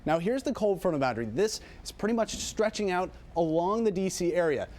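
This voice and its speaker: A man presenting the morning TV weather forecast in a relaxed, chatty way, thinking aloud with casual asides. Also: sits high, brisk. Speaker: TV meteorologist.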